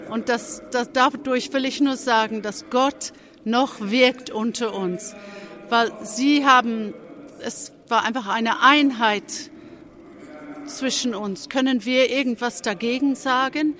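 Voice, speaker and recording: A speaker with a cold gives a testimony at a church service, slowly and with care. The delivery halts often, with phrases begun again, and then builds to fervent emphasis on key points. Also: mid-range voice, sharp tone. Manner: halting, with frequent restarts and pauses. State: has a cold.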